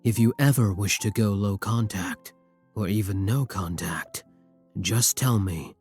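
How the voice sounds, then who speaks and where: A man playing a brooding, tender vampire king in intimate ASMR roleplay, husky and courtly, with formal endearments and hesitant pauses. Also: lowish voice. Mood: calm, caring. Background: soft music.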